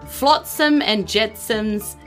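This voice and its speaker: A woman's voice: mid-range voice, slow pace, fairly bright tone, soft background music. A woman narrating a tabletop game as its host, in an informal, conversational, descriptive way. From New Zealand. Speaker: game master and podcast host.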